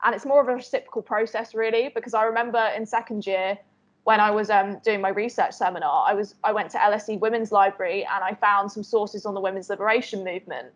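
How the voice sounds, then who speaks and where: A young woman speaks on a video call from a small bedroom. She talks earnestly about her studies in a mid-pitched voice, leaning on "like" as she goes. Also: steady tone, fast. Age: young adult. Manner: earnest, frequent "like" fillers. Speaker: history student panelist. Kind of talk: casual chat on video call. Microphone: laptop webcam on video call.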